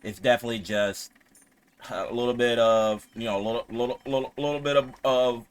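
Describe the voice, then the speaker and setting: A man's voice: mid-range voice, steady tone, fairly quick, smooth. A man giving casual game commentary as a streamer, slangy, sweary and repeating himself as he reacts.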